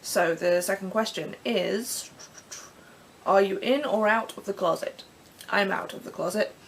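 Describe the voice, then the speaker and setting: A young Englishwoman with a croaky throat, rambling casually through vlog questions from her bedroom with plenty of ums and restarts. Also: mid-range voice, a little slow, slight background noise. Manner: chatty, self-deprecating, many ums and restarts. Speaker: pagan vlogger. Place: bedroom in front of her altar.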